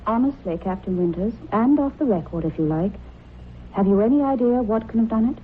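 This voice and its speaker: A woman's voice: fairly high pitch, expressive, a little fast, a little hiss or hum, lightly acted. Woman acting a poised radio-drama wife, tinny recording. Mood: polite, inquisitive. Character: poised, inquisitive woman scriptwriter, sensible wife.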